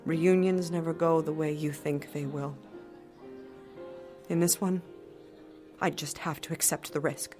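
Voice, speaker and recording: An actress playing a sharp-tongued, middle-aged professional woman with wounded pride in an audio drama. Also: low-pitched, steady tone, unhurried, long pauses, soft background music. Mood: hushed, regretful. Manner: sardonic, articulate. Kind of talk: audio drama acting and first-person narration.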